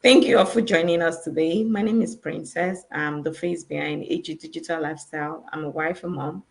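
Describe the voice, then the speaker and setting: A woman's voice: low-pitched, fairly even intonation, brisk, gravelly. A woman with a Nigerian accent, a guest on a video-call interview, telling her story in a chatty way with many fillers and restarts. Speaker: guest entrepreneur.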